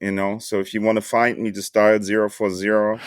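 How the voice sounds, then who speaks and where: A man's voice: fairly low pitch, little pitch movement, a little fast, dry recording. A man in his fifties from the Hamburg region, a native German speaker, casually telling his story in slangy, joking English as a podcast guest. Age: 50s. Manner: laid-back American slang, joking.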